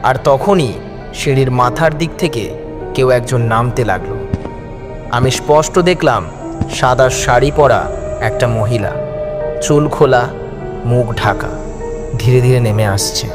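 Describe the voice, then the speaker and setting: A man tells a horror tale in the first person with dramatic suspense. His voice sits at a middle pitch. Music plays clearly behind him throughout. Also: unhurried, long pauses. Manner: suspenseful, theatrical delivery. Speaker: audio story narrator. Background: background music.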